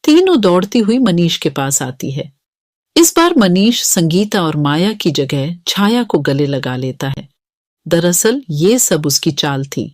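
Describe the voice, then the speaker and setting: Female narrator for children's moral stories: expressive melody, scripted delivery, dry close recording. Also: sits low, no room sound.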